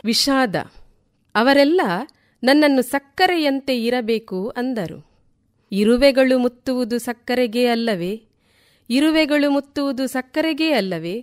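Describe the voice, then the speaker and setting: Slow, higher-voiced woman reciting poetry. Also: animated. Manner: deliberate, repeats lines for emphasis. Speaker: poet reciting on a radio programme.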